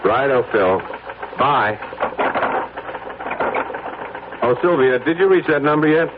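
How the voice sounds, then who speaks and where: A man's voice: medium pitch, lively intonation, normal speed, close to an everyday voice. An actor playing an anxious, brooding businessman in a radio drama, speaking earnestly and hesitantly about his uneasy conscience. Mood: businesslike, insistent. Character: guilt-ridden, anxious businessman confessing a troubled conscience. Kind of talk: old-time radio drama acting. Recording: narrow, tinny radio recording, faint noise.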